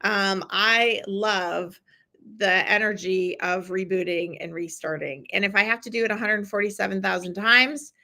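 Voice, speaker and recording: A middle-aged woman hosting a live-stream challenge, chatting warmly with viewers and encouraging them, with lots of ums and restarts. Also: medium pitch, fairly even intonation, moderate pace. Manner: chatty and encouraging, with many fillers. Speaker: podcast host running a live challenge. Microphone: streaming from a computer.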